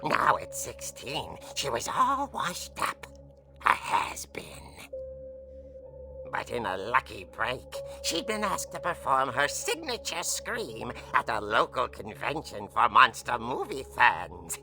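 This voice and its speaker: An actor playing a gleefully macabre old horror-comic host, telling a tale in a hammy, drawn-out theatrical way in a video game. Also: mid-range voice, sing-song. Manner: hammy, drawn-out dramatic delivery. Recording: music under the voice.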